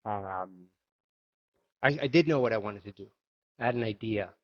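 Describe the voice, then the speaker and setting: A man in his forties from Argentina reflects on his past in a low, unhurried voice. He pauses and restarts often as he searches for the right words. The sound is a little muffled and lo-fi. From Argentina, raised in Miami. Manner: thoughtful, halting, many restarts. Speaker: guest, yoga instructor and coach. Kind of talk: reflective personal storytelling.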